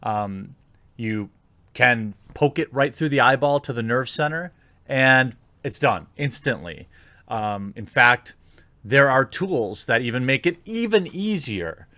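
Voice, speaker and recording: A man's voice: medium pitch, animated, slow, bright, forceful tone, dry recording. Indignant man with a dry, close sound. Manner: indignant, informal, sarcastic. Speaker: YouTube commentator. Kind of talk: opinionated video commentary.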